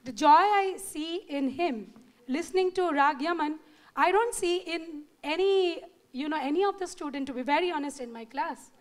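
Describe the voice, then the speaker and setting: A middle-aged woman music teacher speaking candidly about her own past in a husky voice, holding a handheld mic. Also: high voice, normal speed. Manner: candid, personal. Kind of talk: panel testimony. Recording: very echoey room with faint ambience.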